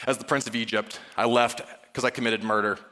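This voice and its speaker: A man's voice: mid-range voice, fairly even intonation, fairly quick, sharp tone, voice pushed down, natural voice. A man preaching and voicing a reluctant, self-doubting old shepherd prophet who protests hesitantly and casually. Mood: reluctant, doubtful. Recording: reverberant hall sound.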